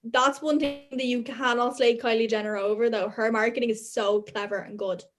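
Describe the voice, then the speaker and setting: A young woman hosts a casual student podcast. Her voice is somewhat high and she talks in an informal, conversational way. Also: fairly even intonation, normal speed. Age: young adult.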